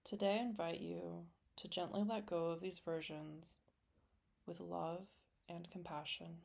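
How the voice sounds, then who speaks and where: Low-pitched woman guiding a slow meditation. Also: steady tone, creaky. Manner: gentle, soothing, deliberate with long pauses. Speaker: meditation guide. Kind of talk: guided meditation, scripted and slow.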